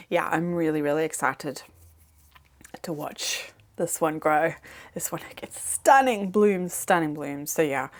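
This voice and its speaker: A woman with a slightly low voice chats casually to her viewers, rambling and restarting her sentences, filling gaps as she goes. English is not her first language, and she talks from home. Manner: chatty, rambling, with many fillers. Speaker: plant vlogger. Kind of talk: casual chat. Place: home, indoors.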